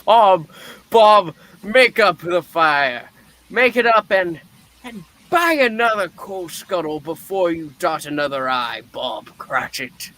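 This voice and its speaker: A man voicing a puppet who plays an excitable, reformed old miser in a theatrical, drawn-out way. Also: high-pitched, heavily acted. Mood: jolly.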